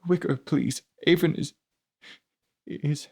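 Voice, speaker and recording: A man voicing a tearful, devoted woman in an audiobook, pleading in an anguished, halting way. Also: a little high, creaky, slightly rough, voice pushed up, heavily acted.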